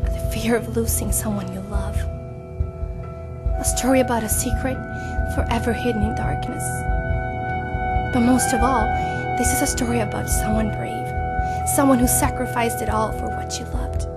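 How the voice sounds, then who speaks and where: A woman playing a pensive, gentle young daughter in a fan-dubbed animated drama. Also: fairly high pitch, moderate pace, pauses often, natural voice. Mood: solemn. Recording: loud music and sound effects behind.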